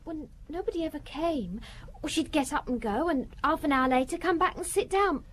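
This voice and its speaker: Woman playing a high-voiced, earnest young housemaid. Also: a little fast, a little hiss or hum, lightly acted. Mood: wistful. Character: plain-spoken, defiant young housemaid, earnest and gossipy. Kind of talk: radio drama acting.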